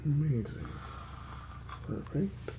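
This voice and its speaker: A man in his thirties speaking softly and soothingly in an ASMR hairdresser roleplay, hushed and reassuring. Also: a little high, sing-song, slow, lo-fi sound, noisy background. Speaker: ASMR performer playing a hairdresser. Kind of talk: ASMR roleplay, soft-spoken. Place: small indoor room with salon products.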